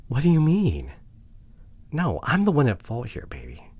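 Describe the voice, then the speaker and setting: A man playing a remorseful, devoted boyfriend in an intimate ASMR audio drama, with a breathy voice that often drops toward a whisper and an earnest, halting delivery. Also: medium pitch, huge pitch swings, warm tone, dry recording, exaggerated voice. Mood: pleading, distressed. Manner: emotional, earnest, halting with sighs. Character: remorseful, devoted boyfriend pleading to repair relationship.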